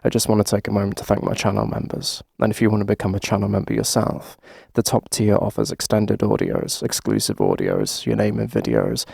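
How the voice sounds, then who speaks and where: Male ASMR creator for a channel outro read: heavy fry, husky tone, dry sound. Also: on the low side, lively intonation, brisk, few pauses, a little mellow, dry recording.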